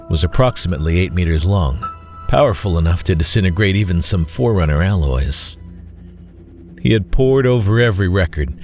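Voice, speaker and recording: A man reading scripted dramatic fiction as narrator, in a measured, solemn way. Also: low-pitched. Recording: faint music bed behind voice.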